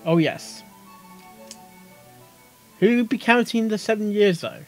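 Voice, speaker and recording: A woman's voice: medium pitch, slow pace, a little mellow. A woman making casual, off-the-cuff reaction comments while listening to a story. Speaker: reactor commenting on a fan-fiction reading. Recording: audio playback music behind the voice.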